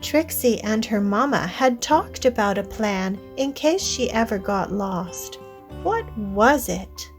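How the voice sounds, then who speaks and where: A woman narrating a children's storybook read-aloud in a deliberate way, addressing young listeners directly. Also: mid-range voice, expressive, faint music behind.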